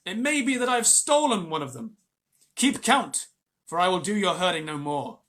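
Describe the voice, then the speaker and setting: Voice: medium pitch, sing-song, normal speed, a bit bright, voice pushed up. A storyteller voicing a proud jungle boy who gives firm, scornful commands.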